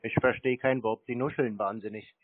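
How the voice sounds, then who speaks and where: A man talks on a thin, tinny phone line at a medium pitch. He is curt and contrary, stonewalling whoever he is speaking to. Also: steady tone, normal speed. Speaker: scam-baiter taking phone calls. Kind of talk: phone conversation. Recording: tinny phone-quality audio.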